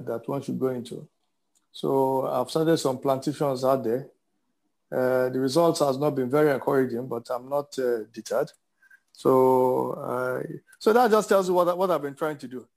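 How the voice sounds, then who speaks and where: Gravelly-voiced Nigerian man, halting delivery. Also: mid-range voice, darkish tone. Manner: halting, hesitant, repeats words for emphasis. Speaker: interview guest, businessman. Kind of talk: reflective conversational interview answers.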